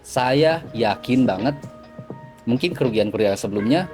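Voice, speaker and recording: A middle-aged man, a forex trading mentor, talking in a relaxed, casual interview and explaining with everyday examples, dropping English words into his talk. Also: medium pitch, normal speed. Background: background music.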